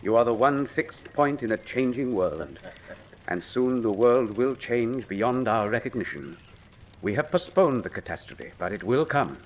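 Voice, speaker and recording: Cool British detective, mid-pitched male voice, wry, articulate, theatrical, radio drama. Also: big pitch swings, unhurried. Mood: grave, stirring.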